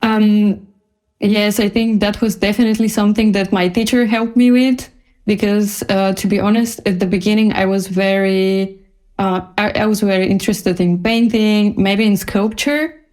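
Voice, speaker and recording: A woman's voice: mid-range voice, fairly even intonation, normal speed, darkish tone. A woman from Bulgaria, a designer speaking casually as a guest in an interview.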